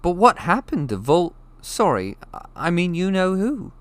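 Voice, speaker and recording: A male narrator plays a young boy in a storybook, lifting his pitch. The boy sounds timid and hesitant, full of questions and doubt. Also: big pitch swings, a little slow, dry recording. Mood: curious. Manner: hesitant, quiet. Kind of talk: audiobook character voice.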